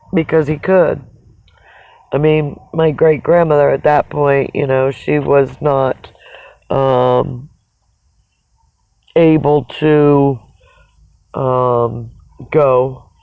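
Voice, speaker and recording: Slow, gravelly, raspy voice on phone-like audio. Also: medium pitch. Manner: halting, reflective, pausing mid-sentence. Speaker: livestreamer. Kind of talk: casual rambling livestream monologue. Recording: dull, narrow phone-like sound.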